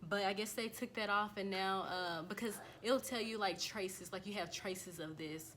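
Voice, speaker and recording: A woman's voice: medium pitch, moderate pace, a bit bright. An American woman vlogging casually as she reads through results on a screen, talking loosely with lots of fillers and restarts. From United States. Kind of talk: casual talk to camera while reading results off a screen.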